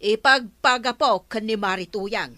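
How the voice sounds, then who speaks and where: An actress playing a stern, scolding village councilor threatening a fine, with an Ilocano accent. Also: female voice, on the high side, measured pace, bright, forceful tone, no room sound.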